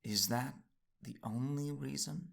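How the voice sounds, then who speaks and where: A man playing a gravelly, teasing Sith husband. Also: medium pitch, measured pace. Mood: calm, teasing. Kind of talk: audio drama acting.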